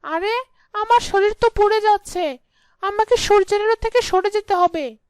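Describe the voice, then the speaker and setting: A woman voicing a fierce, bossy witch in a young wife's body, with a scolding, imperious manner, in an animated fairy tale. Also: very high-pitched, normal speed, no echo, studio quality. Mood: alarmed.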